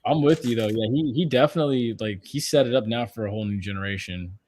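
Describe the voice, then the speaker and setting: A man in his twenties with a medium-pitched voice hosts a sports podcast. He talks casually and tells little stories in an animated way, acting out what people said. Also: quick pace. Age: 20s. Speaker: podcast host.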